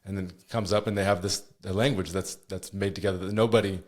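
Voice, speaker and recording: A man's voice: low voice, steady tone, quick pace. A man, hosting a podcast in casual interview chat. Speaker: podcast host.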